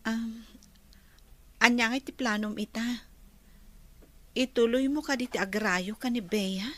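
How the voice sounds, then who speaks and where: A woman's voice: a little high, measured pace, a bit bright, dry recording. A woman acting a worried, hesitant young nursing student in an Ilocano radio drama. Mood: questioning.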